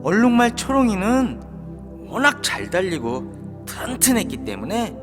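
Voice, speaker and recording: A man narrating a children's storybook in an expressive, drawn-out way. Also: high voice. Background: background music.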